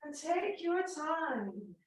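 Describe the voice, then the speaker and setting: A woman's voice: sits high, big pitch swings, a little hiss or hum. Woman guiding relaxation in an echoey room. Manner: gentle, unhurried, soothing, with long pauses. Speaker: yoga nidra teacher. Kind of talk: guided relaxation meditation.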